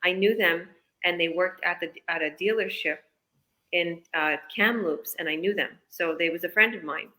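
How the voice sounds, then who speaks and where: Bright-voiced chatty Canadian coach woman. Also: fairly low pitch, normal speed. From British Columbia, Canada. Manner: casual, chatty, explaining eagerly. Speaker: business coach, guest. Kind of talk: live online interview answers.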